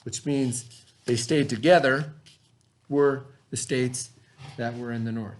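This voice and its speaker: A man's voice: medium pitch, steady tone, slow pace. A man reading a lesson aloud as a teacher, explaining as he goes and checking understanding with simple questions.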